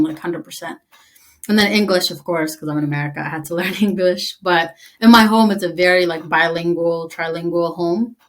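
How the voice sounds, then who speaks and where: A woman from East Africa whose first language is Tigrinya, speaking chattily and quickly as an interview guest. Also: on the low side. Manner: chatty, quick, lots of "like", laughs easily. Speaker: interview guest, army veteran. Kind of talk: conversational interview.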